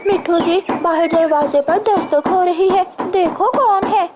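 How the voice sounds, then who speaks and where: A woman's voice: extremely high pitch, unhurried, voice pushed up. A woman voicing a polite, anxious female parrot housewife in a cartoon story, worried and apologetic. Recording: noisy ambient background.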